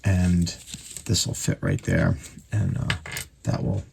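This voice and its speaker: A man with a very low, gravelly voice talks through a repair at his workbench in a loose, thinking-aloud way, full of small fillers. The recording carries a clear layer of workshop noise behind him. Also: big pitch swings, slow, darkish tone. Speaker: radio repair host. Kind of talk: casual workbench narration.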